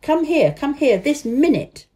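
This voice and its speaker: A woman's voice: mid-range voice, very animated, normal speed, warm tone, husky. A woman voicing a proud, haughty invalid boy who gives emphatic commands in a storybook reading. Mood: fierce, commanding.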